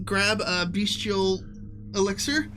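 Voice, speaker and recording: A woman's voice: medium pitch, slow pace, a bit bright, slight background noise, low-quality recording. A woman playing in a tabletop game, casually stating her moves piece by piece, with music behind her.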